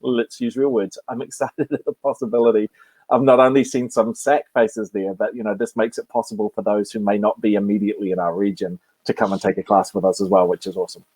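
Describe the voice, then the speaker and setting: A man's voice: lowish voice, flat delivery, fast talker, a little mellow, slightly rough, dry recording, low-quality recording. A man who teaches improv talks eagerly about his upcoming class over a video call, making self-mocking jokes along the way. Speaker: improv instructor.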